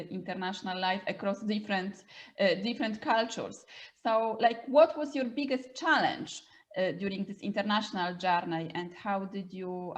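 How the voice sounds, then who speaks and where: A woman hosting and interviewing on a podcast, effusive and repeating her words. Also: medium pitch. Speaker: podcast host. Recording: dull, lo-fi microphone sound.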